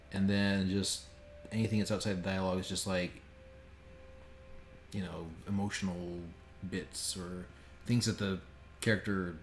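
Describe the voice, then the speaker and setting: A man giving casual, joking and sometimes profane commentary as a game streamer. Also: deep voice. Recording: roomy echo with faint background noise.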